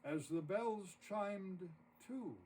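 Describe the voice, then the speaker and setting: A man narrating a rhymed story poem expressively, with dramatic, deliberate phrasing. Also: high voice, darkish tone. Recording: distant mic with audible noise.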